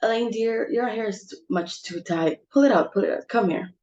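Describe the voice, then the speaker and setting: A woman's voice: fairly high pitch, moderate pace, a little mellow, dull audio, lightly acted. A woman playing a bossy, social-climbing society mother with theatrical exclamations in an amateur stage comedy reading. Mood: brisk, bossy.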